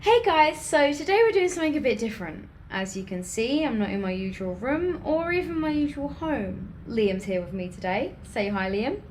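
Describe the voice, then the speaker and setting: Actress doing high voiced young vlogger girl, sarcastic and doubtful, faint noise in the background. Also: very animated, moderate pace, hardly any pauses. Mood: presenting, breezy. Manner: dry, questioning. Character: sceptical, sarcastic young female video maker, uneasy but bold. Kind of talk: naturalistic audio drama acting.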